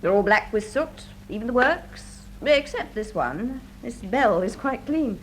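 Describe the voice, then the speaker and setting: An actress with a British accent playing a playful, inquisitive assistant to an investigator in a radio drama. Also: female voice, on the high side, expressive, unhurried. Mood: playful, puzzled. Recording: noisy classic radio drama recording.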